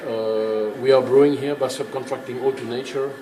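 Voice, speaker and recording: A man guides a tour with an emphatic, didactic delivery. He explains informally but with firm opinions. The sound is roomy and echoing, with clear background noise. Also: medium pitch, steady tone, moderate pace. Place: indoors in a working brewery.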